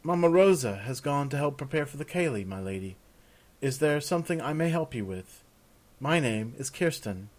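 A man's voice: mid-range voice, expressive, moderate pace, darkish tone, voice pushed up, natural voice. A man voicing an eager, deferential young servant girl with polite, old-fashioned speech in a fantasy story. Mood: helpful. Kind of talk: fantasy audiobook character.

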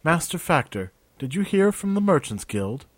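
A calm, learned cleric speaking in a measured and formal way, as a narrator gives him voice. The delivery is steady and recorded dry and close. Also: male voice, a little high, dry recording, voice pushed up.

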